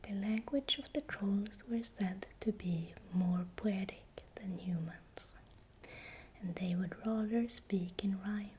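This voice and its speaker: A woman narrating in ASMR style in a breathy, unvoiced whisper, speaking softly and deliberately. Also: medium pitch, slight background noise. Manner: slow, deliberate, repeats words softly. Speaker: ASMR creator narrating folklore.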